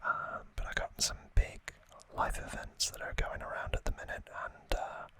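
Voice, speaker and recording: Male ASMR host, fully whispered delivery with a crisp bright edge; informal, hesitant, modest and rambling. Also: moderate pace, dry recording. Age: late 20s or older. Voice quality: breathy, unvoiced whisper. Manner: rambling, hesitant, self-deprecating. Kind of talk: whispered ASMR unboxing chat.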